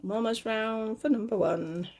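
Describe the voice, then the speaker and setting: A woman giving casual step-by-step craft instruction in a slightly gruff voice, chatty and reassuring, often correcting herself as she goes. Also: normal speed, darkish tone. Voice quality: slightly gruff, lower than her usual voice. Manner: chatty, self-correcting, reassuring toward beginners. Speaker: crochet tutorial host.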